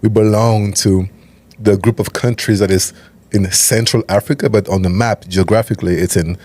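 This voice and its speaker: Calm man, lowish voice. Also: normal speed. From Cameroon. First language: French. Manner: calm, methodical, explains step by step. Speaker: guest, nonprofit CEO. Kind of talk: interview conversation.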